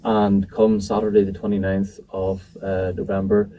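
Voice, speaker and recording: Guy answering interview questions, dark mellow voice, kind of far from the mic, lots of ums. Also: low voice, level tone, moderate pace. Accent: not from New Zealand. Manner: hesitant, many ums and restarts. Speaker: event organizer, interview guest. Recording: distant mic with faint noise.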